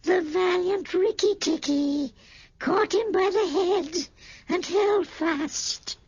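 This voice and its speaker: Shrill, very high little songbird. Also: female voice, darkish tone, no room sound. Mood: exultant singsong. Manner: shrill, drawn-out singsong delivery. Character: excitable little songbird, boastful and fluttery. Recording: dull old radio recording.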